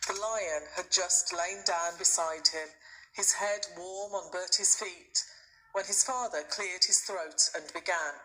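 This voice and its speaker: A woman reading a children's story aloud as a teacher would, in expressive scripted narration. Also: on the low side, slow, low-quality recording.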